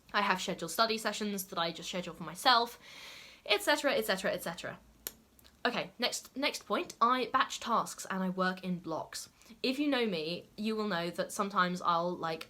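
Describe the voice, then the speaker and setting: A woman's voice: on the low side, sharp tone. A young adult woman talking casually to camera as a lifestyle YouTuber, chatty and full of "like" and "okay?" asides.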